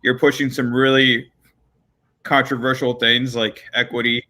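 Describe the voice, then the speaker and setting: A male co-host asks curious, probing questions in a casual chat. His voice is bright and sits at a middle pitch for a man. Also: level tone, a little slow.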